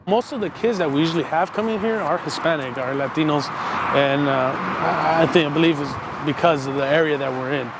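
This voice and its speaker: Man in his 20s from Mexico, Marine recruiter, relaxed plain talk, higher voice, loud traffic behind. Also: a little fast. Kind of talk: casual interview. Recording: loud traffic noise outdoors.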